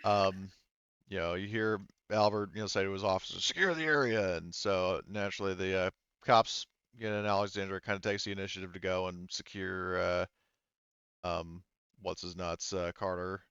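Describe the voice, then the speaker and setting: A man narrating a tabletop roleplaying game as game master, in a casual, halting, informal way. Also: sits low, steady tone, fairly bright tone, smooth, no room sound, dull audio.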